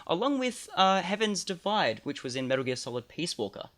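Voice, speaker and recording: A man's voice: high-pitched, big pitch swings, fairly quick, a bit bright. A young man hosting a gaming show, interviewing a guest eagerly with agreeable fillers. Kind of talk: casual interview.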